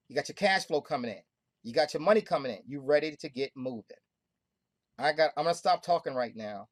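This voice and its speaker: A woman hosting a group call, pitching hard with emphatic, repetitive, preaching talk. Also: no room sound. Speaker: host of a money club call.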